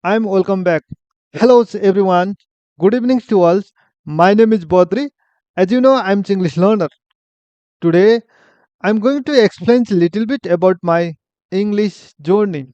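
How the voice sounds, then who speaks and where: A man in his forties from Nepal, with a Nepali accent, telling his own life story in a halting, plain way with many fillers. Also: sits high, mellow, clear, resonant tone, no echo, highs cut off. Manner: halting, many fillers and restarts, simple phrasing. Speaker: vlogger. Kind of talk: personal story, casual monologue.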